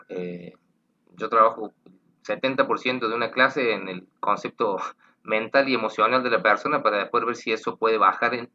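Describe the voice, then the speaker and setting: Earnest Argentine man, bright voice. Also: lowish voice, lo-fi sound. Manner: earnest, rambling with repeated words. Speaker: tango teacher. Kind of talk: explanatory talk.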